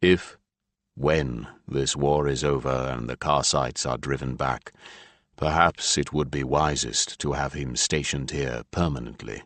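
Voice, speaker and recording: Man acting dry thoughtful father, deep low pitch, measured, low-key, close dry sound, fantasy audiobook. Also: fairly even intonation, fairly bright tone, no echo. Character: dry, calm, thoughtful husband and father, injured soldier.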